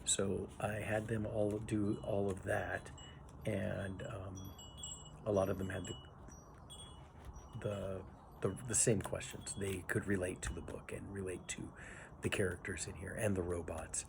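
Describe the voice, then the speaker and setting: A man who teaches young children, giving an informal spoken reflection on his assignment in a halting way, pausing as he thinks aloud. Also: sits low, vocal fry. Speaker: pre-K teacher and education student. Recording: noisy recording with steady background noise.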